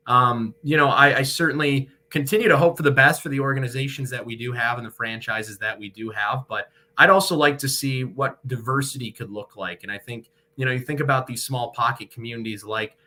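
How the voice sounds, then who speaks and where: A man's voice: medium pitch, brisk, few pauses, sharp tone. A young man, a sports broadcaster, giving articulate, wordy answers as an interview guest. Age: young adult. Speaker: guest, radio hockey play-by-play broadcaster.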